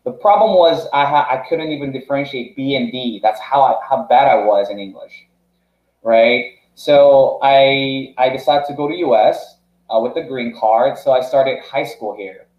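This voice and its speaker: A young startup founder, originally from South Korea, talks through his story in a casual podcast chat. He stumbles, repeats words and leans on fillers as he goes. The sound is a bit dull and carries the echo of a room. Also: mid-range voice. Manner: halting, many restarts and fillers.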